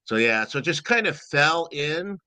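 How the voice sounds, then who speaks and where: A man with a naturally loud voice talks at length as an interview guest, rambling with a lively up-and-down delivery. His tone is bright and forceful. The sound is dry and close, with no room echo. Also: on the high side, normal speed, clear, resonant tone. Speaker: guest, event timekeeper. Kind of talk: casual interview chat.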